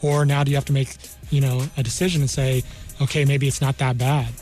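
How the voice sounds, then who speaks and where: Fast-talking American man explaining informally. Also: mid-range voice, little pitch movement, darkish tone, faint music behind. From New Mexico, United States. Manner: conversational, explains with hypothetical examples. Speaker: military career counselor, studio guest.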